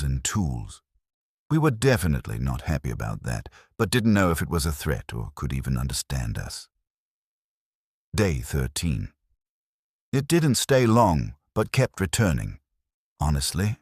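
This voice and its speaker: A man's voice: extremely low pitch, breathy edge, dry recording, studio quality. A man reading a first-person fan fiction story aloud in diary-like entries, as an amateur narrator.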